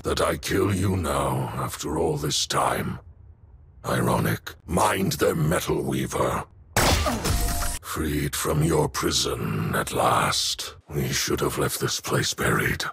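Very deep gravelly wraith. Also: male voice, background noise, full character voice. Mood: grave, ironic. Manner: slow, ominous, deliberate. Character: brooding shadowy wraith assassin, solemn and menacing, male. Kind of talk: video game voice acting.

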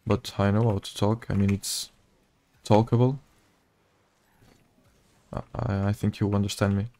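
A man with a Portuguese accent, a native Portuguese speaker, giving casual gameplay commentary in low-key asides to himself. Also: little pitch movement, unhurried, warm tone. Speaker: gaming video creator.

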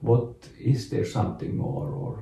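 A man, telling his personal story in an interview, haltingly searching for words. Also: warm tone, far from the mic. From Finland. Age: late 30s. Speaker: interview guest, actor. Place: small home bedroom, indoors. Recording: roomy echo, off the mic.

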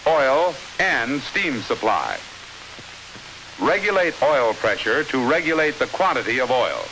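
An American man reading scripted 1950s instructional film narration in a measured, clearly enunciated, authoritative manner. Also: mid-range voice, pushed and bright, rough recording. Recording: hissy 1950s film soundtrack.

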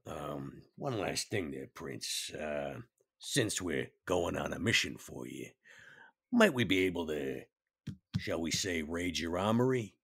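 A man playing a gruff, folksy vampire henchman with a gravelly, husky voice, bargaining casually in a roleplay game. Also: sits low, expressive, slow, a bit bright, exaggerated voice. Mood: wheedling, casual. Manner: colloquial, hesitant bargaining. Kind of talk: tabletop roleplay acting.

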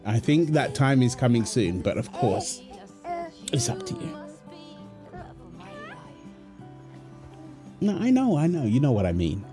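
A man's voice: mid-range voice, wildly swooping pitch, moderate pace, music in the background. A man playing a tender, playful young husband and doting father in an ASMR roleplay, soothing and teasing as he talks. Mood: affectionate, teasing. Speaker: voice actor of a roleplay audio. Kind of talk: ASMR boyfriend roleplay, acted one-sided dialogue.

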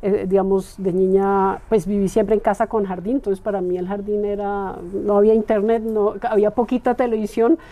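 A woman in her forties from Colombia, talking as an interview guest in a relaxed, thoughtful way and laughing easily. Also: medium pitch, a little fast, hardly any pauses. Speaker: interviewed guest, club member. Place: bright room with large windows, indoors.

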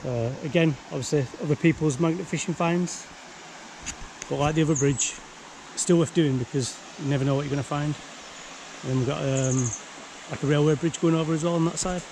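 A young man with a Northern English accent, excitedly commenting on his magnet fishing finds with many fillers, recorded outdoors by a canal on an action camera. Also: a little high, fairly quick, rough recording. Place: outdoors by a canal under a bridge. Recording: outdoor camera audio with background noise.

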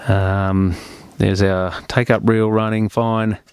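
A man's voice: on the low side, little pitch movement, slow, darkish tone, clear, resonant tone, dry recording. A man presenting an unscripted hands-on repair tutorial as a technician, explaining each step in a plain-spoken, practical way.

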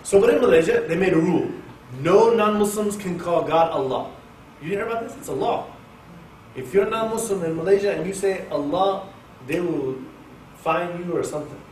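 Animated Oklahoma-born man teaching in an echoey hall. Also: high-pitched, moderate pace. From Oklahoma, USA. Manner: jokey, animated, talks to the audience. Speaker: Quran teacher. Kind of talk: informal religious class. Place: mosque hall with an audience. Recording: echoey room, distant mic, faint hiss.

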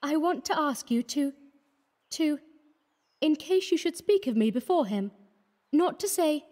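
A man reading an audiobook, voicing an earnest, pleading young woman of the artists' quarter in a soft, reproachful manner. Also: lively intonation, a little slow. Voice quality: high and fluttering.

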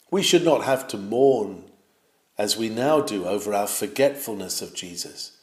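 A man reading an old sermon aloud in a measured, declamatory way, with swells of fervour. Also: fairly high pitch, a little mellow. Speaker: reader of a historic sermon. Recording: roomy, echoing sound.

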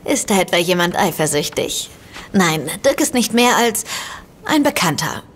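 A woman's voice: fairly low pitch, sing-song, normal speed, a little hiss or hum. An actress playing an outspoken, determined woman turned amateur sleuth in a naturalistic audio drama, speaking directly and probingly. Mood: teasing, familiar.

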